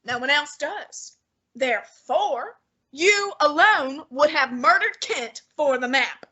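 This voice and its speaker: A young woman voicing a formal, fussy genius male detective in an old-time radio drama, speaking in a deliberate, exacting manner. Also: high voice, expressive, sharp tone, exaggerated voice. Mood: reasoning. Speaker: student actor.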